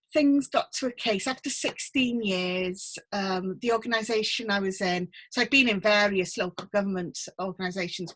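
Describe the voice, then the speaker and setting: Woman, middle-aged, gentle, hesitant ums, video call from home. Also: mid-range voice, low-quality recording. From North Wales. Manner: gentle, thoughtful, many ums and restarts. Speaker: guest, book mentor. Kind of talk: casual interview chat. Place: home room with full bookcase.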